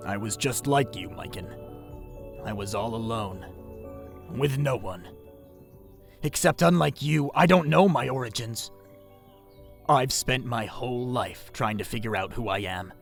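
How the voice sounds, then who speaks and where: A man voicing a solitary male warrior mentor in an audio drama, grave and measured, with a gravelly voice. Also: mid-range voice, faint music behind.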